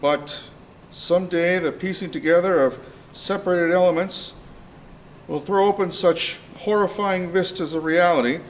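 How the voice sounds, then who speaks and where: A man's voice: fairly high pitch, slight background noise, rough recording. A man acting an inquisitive, dogged police detective in an amateur live radio play.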